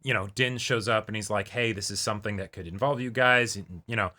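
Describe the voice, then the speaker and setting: A man's voice: fairly low pitch, a little fast, bright, forceful tone. A man chatting casually as a co-host, agreeable and given to acting out imagined dialogue. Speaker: co-host of a reaction show. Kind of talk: casual chat and commentary.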